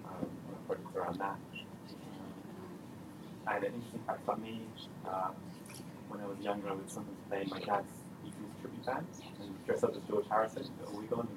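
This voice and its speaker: Male doctor, halting self-introduction, lowish voice, far from mic in a noisy room. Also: level tone. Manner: halting, with many fillers. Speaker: public health doctor. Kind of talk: round-the-table introduction.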